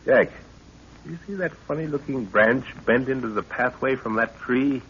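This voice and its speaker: A man's voice: medium pitch, normal speed, fairly bright tone, natural voice. Man acting a learned explorer professor, old muffled radio. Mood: wary. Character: learned, bold professor-explorer father, fond and fatherly. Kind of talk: 1930s radio adventure serial acting. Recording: muffled, noisy 1930s radio recording.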